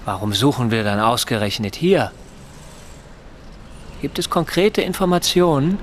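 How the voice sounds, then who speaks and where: An actor playing an eager, self-important neighbour and private tutor in a radio drama, earnest and probing. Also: male voice, medium pitch, sing-song, measured pace, a little hiss or hum. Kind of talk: radio drama narration and dialogue.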